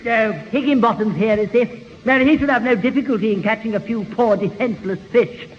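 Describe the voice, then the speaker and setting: An actor playing a prim, pompous, fussy gentleman with formal phrasing in a 1970s radio sitcom. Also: sits high, brisk, close to an everyday voice. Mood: prim, condescending. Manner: formal, prissy wording. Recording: dull reverberant old radio recording, faint hiss.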